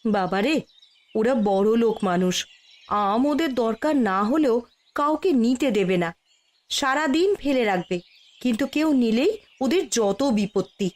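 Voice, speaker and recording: Woman playing a cautious village mother, highish voice. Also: animated, measured pace, pauses often, darkish tone. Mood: warning. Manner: warning, motherly. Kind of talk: Bengali cartoon story voice acting.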